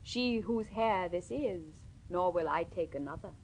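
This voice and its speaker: A woman's voice: fairly low pitch, a little mellow, a little hiss or hum, voice pushed down, poor audio. Woman reading a proud, stubborn medieval king. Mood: regal, firm. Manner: measured, regal, archaic formal speech.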